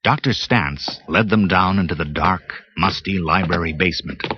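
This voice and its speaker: A man narrating a read-along storybook in a lively, expressive way. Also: deep voice, moderate pace, husky. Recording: muffled cassette with music bed.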